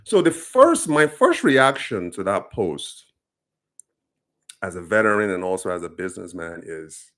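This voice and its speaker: A man's voice: low voice, a bit bright. A Nigerian man talking casually to camera, explaining things earnestly, with plenty of ums and restarts. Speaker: commentator.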